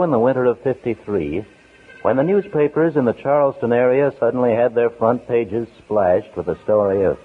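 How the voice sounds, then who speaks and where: A man narrating a 1950s radio drama in a solemn, measured, dramatic way. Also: lowish voice, slightly rough. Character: grave, dramatic male storyteller of a true crime tale. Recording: old 1950s radio broadcast, narrow tinny band.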